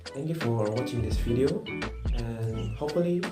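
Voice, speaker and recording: A young man from Indonesia, a student giving a friendly, chatty campus tour narration and sometimes pausing to find words. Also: medium pitch. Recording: loud background music over the voice.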